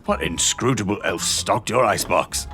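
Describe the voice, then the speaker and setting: A man's voice: on the low side, normal speed, bright, forceful tone, faint music behind. An actor playing a pompous, blustering old British statesman in an audio drama, boastful yet kindly, with grand oratorical phrasing. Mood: brisk, pompous. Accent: British English.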